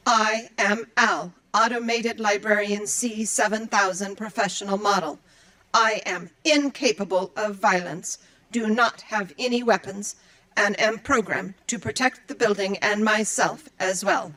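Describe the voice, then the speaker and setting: A woman's voice: medium pitch, unhurried, pushed and bright, rough recording. A woman playing a dutiful, earnest android librarian in an audio drama, speaking in formal, precise, procedural phrases. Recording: music behind the voice. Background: music.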